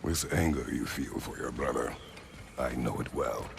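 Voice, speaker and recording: Rumbling, stern warrior god. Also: male voice, normal speed, vocal fry, background noise. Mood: grave, restrained. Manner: terse, formal, grave. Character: stern, weary middle-aged male warrior god. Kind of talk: video game character acting.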